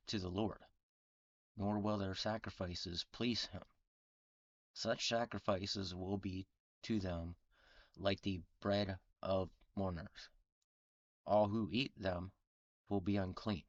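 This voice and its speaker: A man's voice: sits low, no room sound, highs cut off. A man with a cold, sounding congested, reading scripture aloud and explaining it, stumbling and restarting words often. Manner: halting reading with frequent restarts and throat clearing. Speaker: Bible reading channel host.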